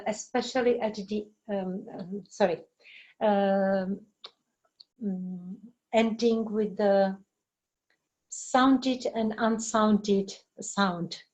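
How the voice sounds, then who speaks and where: A middle-aged woman with a Czech accent, a native Czech speaker, teaching in an informal webinar with halting, searching delivery. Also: medium pitch, darkish tone, dull audio. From Czech Republic. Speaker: language teacher giving a webinar. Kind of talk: informal lecture with explanations and answers.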